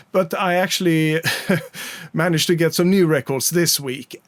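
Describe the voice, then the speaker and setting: A man's voice: fairly high pitch, no echo. A man from Sweden with a Swedish accent, chatting casually to camera about his record collection, rambling a little with frequent fillers.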